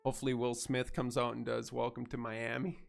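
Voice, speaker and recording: A man chats casually through a gameplay livestream. His stuffed-up head cold leaves him nasal, with a gravelly rasp in his tone. He sounds easygoing and friendly, and faint music plays behind him. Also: medium pitch, flat delivery, brisk. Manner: easygoing, chatty, thanks viewers warmly. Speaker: streamer.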